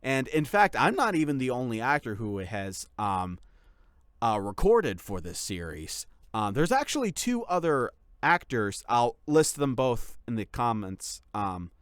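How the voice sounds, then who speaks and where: Clear, close-sounding man giving an update. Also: mid-range voice, big pitch swings, a little slow, no echo. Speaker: ASMR voice actor and channel host. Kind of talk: casual channel update.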